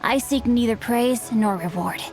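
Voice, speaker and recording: A woman voicing a bookish, chivalrous young gentleman swordsman in a video game, composed, courteous and flowery in speech. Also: a little high, a little slow, loud music behind the voice. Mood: lofty. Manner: flowery, formal, courteous, evasive.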